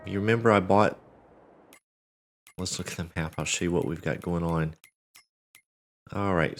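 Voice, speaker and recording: A man hosting a farming game playthrough, congested and a bit cracking, talking in a relaxed, rambling way with many fillers and restarts. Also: sits low. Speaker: let's play host. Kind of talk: casual gameplay commentary.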